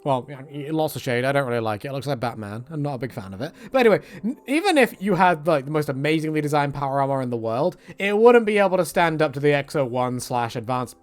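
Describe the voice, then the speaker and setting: Male games commentator, slightly high voice, very animated intonation; rambling and self-deprecating, casual delivery. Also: fast. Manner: swears casually. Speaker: video essayist on games. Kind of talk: casual, joking opinion commentary.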